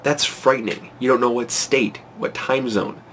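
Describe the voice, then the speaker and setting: A young man in his twenties, congested from a cold, rambling anxiously and excitedly with many fillers in a casual to-camera vlog, recorded on a close webcam in a small bedroom at night. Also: mid-range voice, normal speed, a little hiss or hum. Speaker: vlogger. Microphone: webcam at close range.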